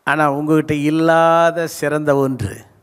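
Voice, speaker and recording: A man's voice: on the high side, level tone, slow, smooth. Older man preaching in a reverberant hall. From South India. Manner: emphatic preaching, stretching key words, calls for response. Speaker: pastor. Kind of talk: sermon. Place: large church stage. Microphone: handheld mic. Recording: live church hall reverb.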